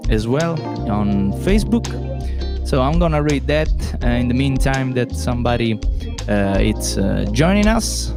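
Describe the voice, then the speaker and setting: Slow-talking Italian man hosting live. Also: mid-range voice. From Italy, Rome. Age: late 20s. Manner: relaxed, chatty, searches for English words with many fillers. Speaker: podcast host and musician. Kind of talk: live solo Q&A stream.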